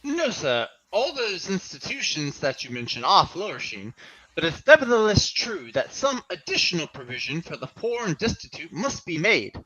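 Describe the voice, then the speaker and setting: A man portrays a courteous gentleman fundraiser in a radio-play reading. He speaks formally and earnestly with very varied intonation and a bright tone, on a slightly muffled recording. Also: sits high, big pitch swings, moderate pace, full character voice. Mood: earnest, polite. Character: polite, earnest gentleman charity collector.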